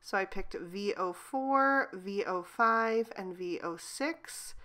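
A woman hosting a craft channel, slightly hoarse from a cold, calmly explaining step by step as she narrates a card-making coloring tutorial. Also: mid-range voice, slow.

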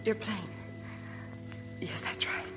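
A woman's voice: fairly low pitch, very animated, slow pace, rough recording. A weary young woman with a secret. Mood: quiet. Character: young woman hiding a sad past, weary. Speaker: actress. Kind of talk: 1940s radio acting. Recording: old radio broadcast with faint music.

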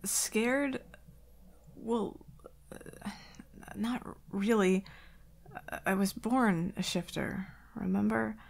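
Woman acting a slow, halting, anxious werewolf girlfriend. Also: mid-range voice, exaggerated voice. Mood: hesitant, distracted. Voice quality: soft, breathy whispering. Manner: hesitant, halting, stammering, often whispering. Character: anxious, vulnerable werewolf girlfriend baring her fears. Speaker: ASMR roleplay performer. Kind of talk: ASMR roleplay, intimate monologue.

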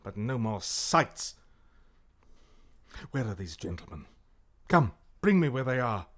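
A man's voice: mid-range voice, very animated, takes it slowly. An actor playing a haunted, ruthless warrior king in a declamatory Shakespearean radio drama. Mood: grim, ruthless. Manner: declamatory, volatile.

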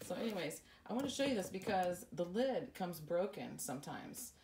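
A woman's voice: fairly low pitch, very animated, normal speed, darkish tone, a little hiss or hum. Chatty woman vlogging from her kitchen. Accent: American. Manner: chatty, laughs often, lists prices as she goes. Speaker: lifestyle vlogger. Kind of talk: casual grocery haul vlog. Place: home kitchen, indoors. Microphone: camera at arm's length.

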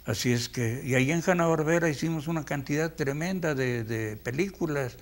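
Elderly Mexican man reminiscing haltingly. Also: a little high. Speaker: veteran animator. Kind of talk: reminiscing interview. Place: indoor room, close interview shot.

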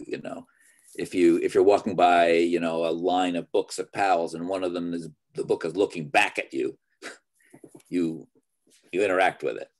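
Deep voiced guy on a zoom call, halting, hunting for words. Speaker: discussion participant. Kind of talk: online group discussion. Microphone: computer mic on a video call.

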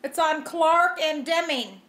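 A woman's voice: high-pitched, takes it slowly, a bit bright, slight background noise. An older woman chatting casually at the tea table, laughing easily. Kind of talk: casual chat. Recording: echoey room.

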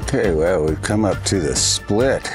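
An older man with a raspy voice narrating a hike outdoors for his travel vlog, low-key and rambling as he thinks aloud. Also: expressive, unhurried. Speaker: travel vlogger. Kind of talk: casual hiking narration. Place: outdoors on a desert trail. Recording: music bed under the voice.

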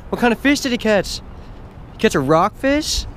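A man banters and teases in an easy, joking way, his voice slightly higher than usual for a man. He is outdoors by a river, picked up by an action camera with plenty of background noise. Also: animated, normal speed. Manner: teasing, laughing banter. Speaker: angler filming his brother. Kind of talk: casual joking chat.

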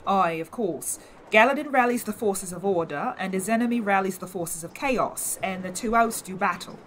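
A woman's voice: medium pitch, moderate pace, fairly bright tone, a little hiss or hum, close to an everyday voice. An actress playing a devout, skeptical older mother with folksy, rustic phrasing in a fantasy audio drama. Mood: matter-of-fact.

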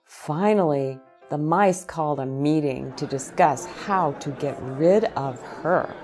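Female storytime host, middle-aged, low-pitched and unhurried, expressive picture-book delivery for small kids, music behind. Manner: deliberate phrasing, addressing child listeners with questions. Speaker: children's storytime host reading aloud. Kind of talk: slow, animated picture-book read-aloud for young children. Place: small indoor set with bookshelves, seated in an armchair.

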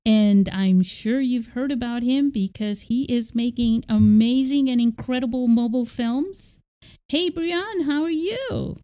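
A woman's voice: fairly high pitch, measured pace, warm tone. A woman hosting a podcast interview, enthusiastic and often restarting her sentences. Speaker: podcast host. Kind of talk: casual interview chat.

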